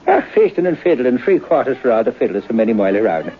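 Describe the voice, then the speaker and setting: An actor playing a folksy Irish storyteller spinning an eerie tale in old-time radio drama, with a theatrical lilt. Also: male voice, medium pitch, sing-song, fast talker, close to an everyday voice. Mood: relishing. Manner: theatrical, lilting, relishing the tale. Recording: muffled old radio broadcast with music.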